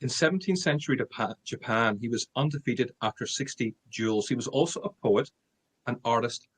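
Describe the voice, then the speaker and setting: A middle-aged man with an Irish accent speaks as a podcast guest, with a husky grain to his voice. He talks in a mid-range pitch, hammers his points home by repeating them, and chuckles at his own remarks. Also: brisk. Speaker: guest sales expert. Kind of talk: podcast interview.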